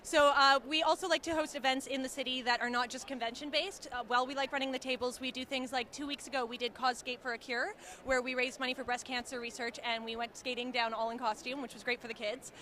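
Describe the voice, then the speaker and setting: A woman's voice: high-pitched, fairly even intonation, quick pace, hardly any pauses, sharp tone, slight background noise. A young woman who organizes a cosplay group, explaining their charity events fluently and eagerly in an interview at a convention. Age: young adult. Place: outdoors at a busy convention.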